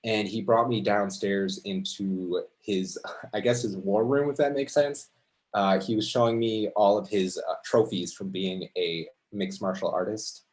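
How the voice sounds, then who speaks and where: A man telling an unscripted personal story to an audience in a halting, conversational, reflective way. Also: lowish voice. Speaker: amateur storyteller at a story slam.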